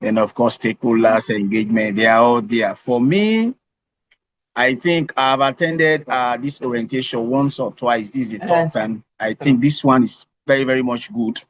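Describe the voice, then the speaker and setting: A man's voice: mid-range voice, normal speed, no echo. A man, a course participant, speaking earnestly on a video call and repeating words as he thinks. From Sierra Leone.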